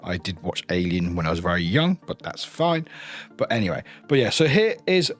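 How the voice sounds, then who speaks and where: A man with a lively, swinging intonation gives casual unboxing commentary. He is informal and chatty, dropping into short trailing asides, with faint music behind him. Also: low-pitched, moderate pace. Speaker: reviewer hosting an unboxing channel.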